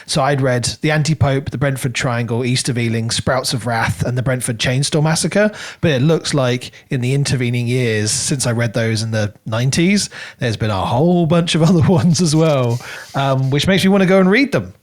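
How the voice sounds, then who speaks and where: A man's voice: mid-range voice, moderate pace. A middle-aged man co-hosting a podcast, chatting informally and keenly in a casual book review. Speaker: podcast co-host.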